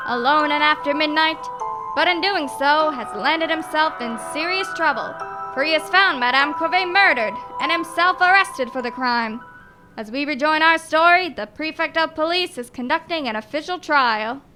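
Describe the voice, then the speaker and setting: A woman's voice: high-pitched, moderate pace, over music. A woman announcing and narrating a radio drama in a scripted old-time radio style.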